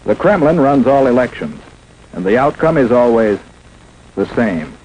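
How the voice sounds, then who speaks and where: A man's voice: medium pitch, very animated, normal speed, darkish tone, breathy edge, poor audio. An American man narrating a 1950s instructional film in an emphatic, dramatic, scripted manner, on an old film soundtrack. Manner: emphatic, dramatic, rhetorical questioning.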